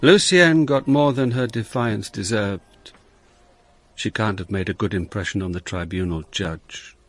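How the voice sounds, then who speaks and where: An actor playing a blunt, worldly police inspector in a radio drama, brusque, commanding and wry. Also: male voice, lowish voice, measured pace, no room sound. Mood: dry, reflective. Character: blunt, worldly Dutch police inspector, narrating his case. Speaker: actor and narrator.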